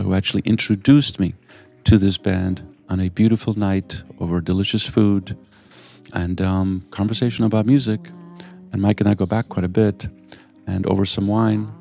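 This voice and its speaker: Low gravelly male interview host. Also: warm tone. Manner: enthusiastic, rambling storyteller. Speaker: radio show host. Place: venue in Lisbon with music behind.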